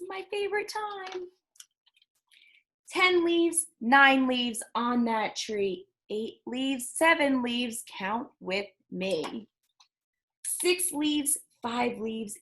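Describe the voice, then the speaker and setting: A woman leads a storytime for young children. Her voice sits a little high, and she takes her words very slowly. She reads and counts in a singsong, encouraging manner, speaking directly to her small listeners. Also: fairly bright tone. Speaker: children's library storytime host.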